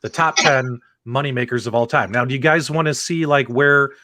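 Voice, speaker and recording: A man hosting a casual panel show, excitable and chatty as he addresses his co-hosts and audience. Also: mid-range voice, normal speed, no echo. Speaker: host of a video game podcast.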